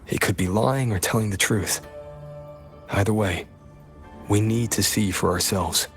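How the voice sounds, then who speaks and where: A man's voice: on the low side, measured pace, soft background music. A man playing an easygoing, confident young wanderer hero in a video game. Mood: serious. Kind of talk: video game character dialogue.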